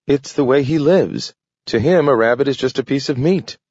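A man voicing a gentle, idealistic male dog who can talk, pleading in a raised, eager voice. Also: medium pitch, normal speed, slightly rough, dry recording, voice pushed up, lightly acted. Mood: earnest. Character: earnest, gentle young male talking dog. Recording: dull, dry recording.

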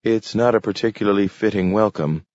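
Man playing a solemn, formal young gentleman. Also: lowish voice, brisk, no room sound, highs cut off, natural voice. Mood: coolly polite.

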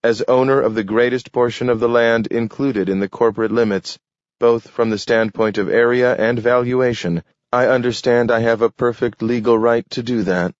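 A man voicing a solemn young man in a storybook, speaking with cool, measured formality. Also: medium pitch, fairly even intonation, no echo, highs cut off, pitched above the performer's own voice, close to an everyday voice.

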